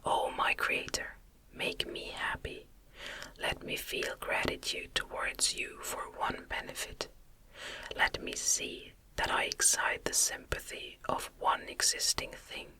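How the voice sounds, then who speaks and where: A lonely, hideous outcast monster played by a woman pleads in formal, eloquent phrases. The voice is a breathy ASMR whisper, very slow and sharply sibilant, earnest and imploring in a gothic storybook manner. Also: sharp tone. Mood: pleading.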